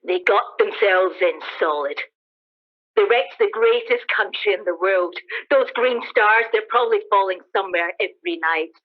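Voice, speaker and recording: A woman plays a cynical, defiant soldier who has survived a catastrophe in a radio drama. The talk is colloquial and emphatic, at a middling pitch. The sound is thin and band-limited, like a phone line. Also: normal speed, close to an everyday voice. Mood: grim, resigned. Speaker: amateur actor in a radio play. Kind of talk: dramatised radio play dialogue.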